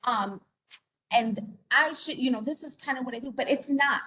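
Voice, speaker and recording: A woman's voice: sits high, moderate pace, bright, forceful tone, low-quality recording. A woman from Puerto Rico giving a conversational informational presentation as an investigator, with many fillers and tag questions. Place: conference or meeting room.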